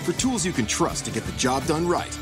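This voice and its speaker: Male ad read, upbeat and confident, slightly high, music underneath. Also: very animated, fairly quick. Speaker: ad voice-over. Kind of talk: scripted radio commercial.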